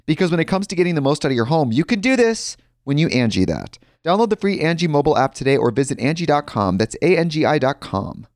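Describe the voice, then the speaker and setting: A man's voice: a little high, sing-song, fast, few pauses, a little mellow, no room sound, clean studio sound. Upbeat man reading a sponsor ad. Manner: upbeat, conversational with personal asides. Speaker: sponsor ad reader. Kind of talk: host-read ad.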